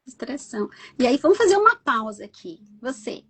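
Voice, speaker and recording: Husky high-voiced woman hosting. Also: a little fast. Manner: lively, addresses the audience directly and invites participation. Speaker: host of a live stream. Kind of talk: conversational live chat.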